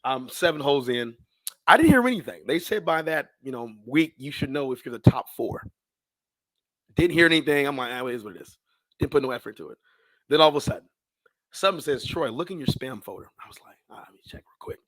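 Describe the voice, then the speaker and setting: A man's voice: medium pitch, fast, no room sound, rough recording. American guy in his 30s chatting on a podcast, slangy storyteller, acts out the dialogue, really dry close sound. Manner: animated, slangy, acts out his own past thoughts and dialogue. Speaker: podcast guest, sports public address announcer. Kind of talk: casual storytelling in an interview.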